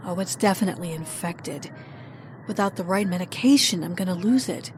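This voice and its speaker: A woman plays an injured doctor in an audio drama. She sounds weary and pessimistic, and a clear hiss sits under her voice. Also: lowish voice, fairly quick, darkish tone, lightly acted.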